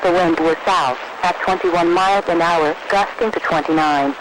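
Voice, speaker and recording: Clear static runs through this radio reception. An American man with a fairly high voice reads a weather summary from a script. He sounds formal and impersonal. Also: normal speed.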